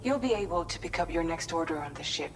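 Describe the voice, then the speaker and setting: A woman's voice: sits low, lively intonation, quick pace, slight background noise. An actress playing a supportive female mission teammate in a video game, encouraging and instructive. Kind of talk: scripted game dialogue.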